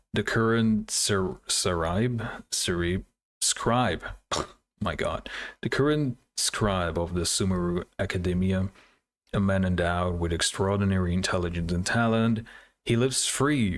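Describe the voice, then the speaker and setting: A man's voice: sits low, unhurried. A man giving casual, laid-back reaction commentary on game trailers, musing as he goes. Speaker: gaming video creator. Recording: music behind the voice. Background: game trailer music.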